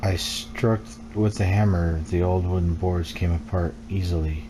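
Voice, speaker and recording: A man's voice: low voice, steady tone, unhurried. A man reading the text of a horror game aloud as scripted storytelling while streaming it. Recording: clear background noise.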